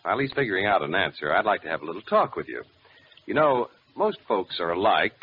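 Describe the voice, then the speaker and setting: A male announcer in classic 1940s radio style. His lowish voice moves in lively swells, folksy yet polished, on a dull recording with faint noise. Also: fast. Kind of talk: 1940s radio narration and folksy sponsor pitch. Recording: muffled, noisy old radio recording.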